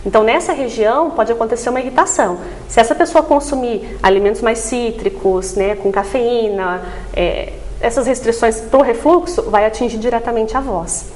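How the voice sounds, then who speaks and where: Female expert guest for TV interview, higher-pitched, explains step by step, checks in often. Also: moderate pace, a little mellow, a little hiss or hum, poor audio. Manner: didactic, frequent tag questions seeking agreement. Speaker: voice therapist guest.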